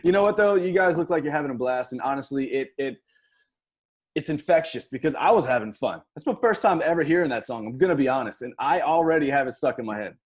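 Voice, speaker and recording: A man's voice: medium pitch, animated, fast talker. A man hosting a casual radio interview in a chummy, joking manner, ribbing his guests. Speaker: radio host.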